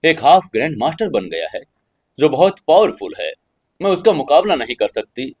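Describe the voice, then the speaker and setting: A cautious woman fighter acted by a male narrator in an audio drama. She is soft-spoken and apologetic, deferring to her superior. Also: a little high, very animated, moderate pace, husky. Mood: subdued, wary.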